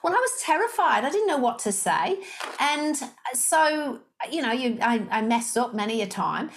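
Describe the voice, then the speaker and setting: A woman's voice: sits high, very animated, normal speed, slight background noise. A woman in her fifties with a slight Liverpool accent, telling her story as a guest in a casual interview, in a chatty, self-mocking way. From Liverpool, England. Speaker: guest, sales coach.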